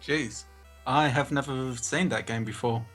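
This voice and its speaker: A man's voice: medium pitch, a little slow, a bit bright. Casual male caller, faint music behind. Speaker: call-in guest. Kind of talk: casual chat.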